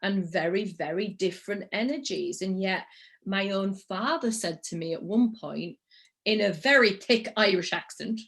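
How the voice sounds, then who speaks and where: A woman's voice: medium pitch, moderate pace, fairly bright tone. A woman from Yorkshire with a Yorkshire accent, hosting a podcast interview in an effusive, casual way and repeating words for emphasis. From England, Yorkshire. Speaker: podcast host.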